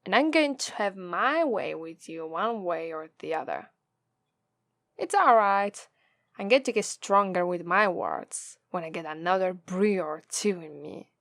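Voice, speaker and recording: A woman with an Italian accent voicing a gloating, teasing female demon captor in a slow, drawling ASMR roleplay. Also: on the low side, animated, fairly bright tone, no echo. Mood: boastful, tipsy. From Italy. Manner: slow, drawling, taunting, playful menace.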